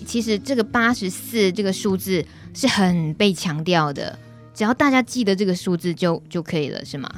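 A woman with a mid-pitched voice hosts a radio conversation. She interviews casually, with faint music playing behind her. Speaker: radio host.

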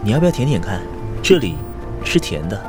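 A man's voice: lowish voice, very animated, brisk, music in the background. A man acting in a Chinese audio drama as a cool, courteous and composed male doctor. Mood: playful, eerie calm. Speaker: voice actor.

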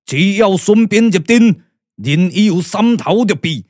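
Imperious, high-pitched Taiwanese warlord. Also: male voice, expressive, unhurried, darkish tone, dry recording, studio quality. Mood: imperious, menacing. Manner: declamatory, commanding. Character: imperious male warlord commanding his battle array. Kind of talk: Taiwanese puppet radio drama acting.

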